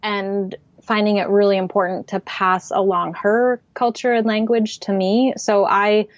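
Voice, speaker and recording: A woman's voice: mid-range voice, level tone, measured pace, no echo, lo-fi sound. A woman from the Pacific Northwest who grew up speaking Swedish at home, talking reflectively as a podcast guest, with frequent fillers. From Pacific Northwest, United States. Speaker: podcast guest, writer and artist. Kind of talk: casual interview conversation.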